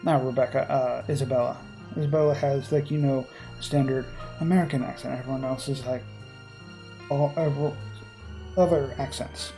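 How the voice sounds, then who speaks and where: A person reading visual novel narration aloud, breaking off for informal, halting commentary. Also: mid-range voice, steady tone, warm tone, soft background music, poor audio.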